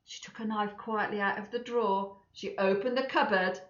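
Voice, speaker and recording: A woman's voice: mid-range voice, fairly even intonation, moderate pace. A woman reading a children's story aloud expressively, with chatty asides to young listeners. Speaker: storybook reader for schoolchildren. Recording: echoey room, slightly dull sound.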